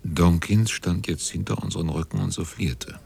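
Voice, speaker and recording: An actor playing a sober sailor narrator, recounting a sea voyage in a literary radio drama, with a husky voice. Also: male voice, low voice, moderate pace, a little mellow, heavily acted.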